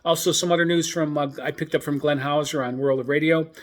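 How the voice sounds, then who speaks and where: A man hosting a hobbyist radio news show in an informal, halting, hesitant manner. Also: on the high side, steady tone.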